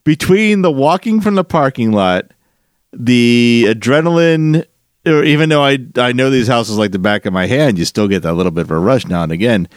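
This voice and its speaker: A man co-hosting a podcast, telling a long story in a rambling, animated way with restarts and a slightly nasal voice. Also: medium pitch, normal speed, dry recording, clean studio sound. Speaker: podcast co-host. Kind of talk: casual storytelling chat.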